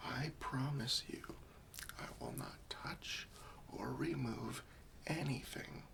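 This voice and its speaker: A man playing a gentle, patient, faintly wry professional cleanup worker in a softly whispered, hesitant ASMR roleplay. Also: mid-range voice, vocal fry, full character voice. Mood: calm, soothing. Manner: soft, hesitant, soothing, politely tactful.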